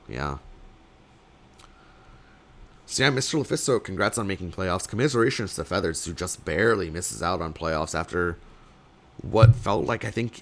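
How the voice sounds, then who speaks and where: A young man, slightly sick and losing his voice, casting a card game tournament alone in rambling play-by-play full of fillers, with sudden bursts of excitement. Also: low voice, vocal fry, slight background noise. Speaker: tournament caster. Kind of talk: solo play-by-play commentary.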